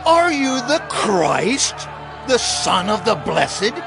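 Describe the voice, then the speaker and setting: An actor playing an outraged, imperious high priest in a scripture audio drama, declaiming accusingly. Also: male voice, big pitch swings, slow, vocal fry, heavily acted. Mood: stern, demanding. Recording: dramatic music and crowd behind.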